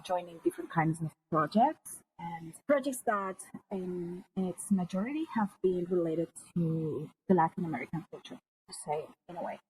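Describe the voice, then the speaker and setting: A woman's voice: fairly low pitch, a little mellow, husky, low-quality recording. A woman from Colombia, an actor and creator, speaking enthusiastically as a podcast guest. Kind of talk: interview conversation.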